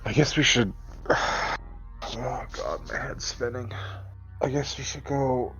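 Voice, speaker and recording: Male tabletop player, casual and bemused, halting delivery, dull recording, faint music underneath. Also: medium pitch, a bit bright. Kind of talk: casual in-character game chat.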